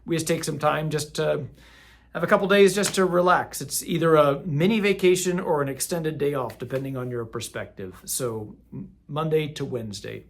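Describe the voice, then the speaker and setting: A man's voice: a little high, normal speed. A middle-aged man, a parish priest, giving casual, rambling parish announcements and correcting himself as he goes. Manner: rambling, self-correcting, friendly.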